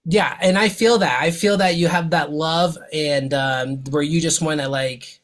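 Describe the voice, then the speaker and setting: A man's voice: on the high side, fairly even intonation, unhurried, fairly bright tone. A man hosting a podcast, talking with enthusiasm and slang, often restarting his sentences. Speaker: podcast host. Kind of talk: casual interview hosting.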